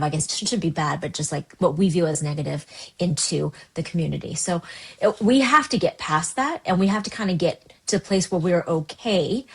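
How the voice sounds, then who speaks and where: A woman's voice: fairly low pitch, slightly rough. A woman pastor with Cantonese as her first language, talking earnestly in a candid podcast conversation, often restarting her sentences. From United States, Chinese immigrant family. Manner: earnest, animated, many restarts. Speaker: pastor, guest.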